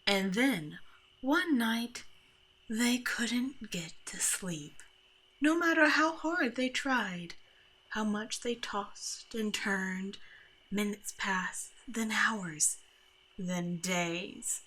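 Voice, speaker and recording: Under-bed monster, female, played by a woman: forceful bright tone, mid pitch, bossy and exasperated, ASMR roleplay delivery. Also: drawn-out words, rough recording. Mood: hushed, storytelling. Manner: exasperated, bossy, mock-threatening. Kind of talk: scripted ASMR roleplay, speaking to the listener.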